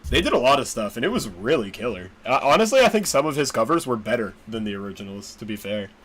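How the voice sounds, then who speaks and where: A man's voice: medium pitch, fast talker. Young male podcast host, easygoing chat. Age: 20s. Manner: relaxed and chatty, laughs easily, frequent hesitant fillers.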